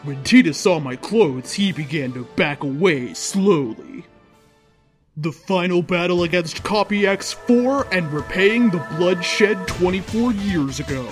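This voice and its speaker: A man's voice: sits high, lively intonation, unhurried. A man reading a story aloud in a theatrical, mock-serious way, like a host performing fan fiction. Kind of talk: dramatic comedic story reading. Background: music.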